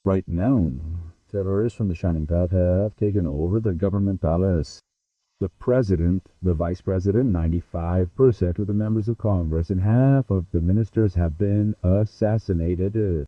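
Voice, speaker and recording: A man's voice: low-pitched, moderate pace, no room sound, far from the mic. A man reading a scripted emergency broadcast announcement in a formal, grave manner over a tinny, phone-like channel.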